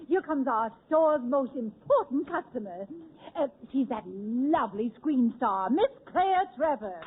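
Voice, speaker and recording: Fussy bossy lady, singsongy high voice, old radio show with audience. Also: expressive, a little slow, a little hiss or hum. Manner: bossy and fluttery. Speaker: actress, store manager. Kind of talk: 1940s radio comedy. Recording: lo-fi 1940s radio broadcast before a live audience.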